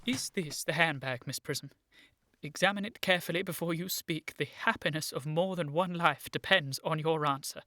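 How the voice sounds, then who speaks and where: A woman playing an earnest, excitable gentleman in a period radio comedy, formal and urgent with an agitated stammer. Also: deep voice, moderate pace. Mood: grave, emotional. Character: earnest, excitable young gentleman seeking his origins.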